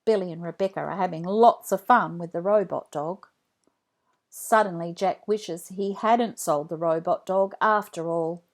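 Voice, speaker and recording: A woman reading a children's story aloud in an expressive, deliberate read-along style. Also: lowish voice. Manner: deliberate, animated phrasing for children.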